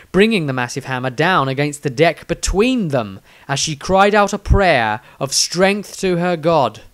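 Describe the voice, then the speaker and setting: Male storyteller, higher register, scripted fantasy narration, earnest and dramatic. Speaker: author reading own fantasy story.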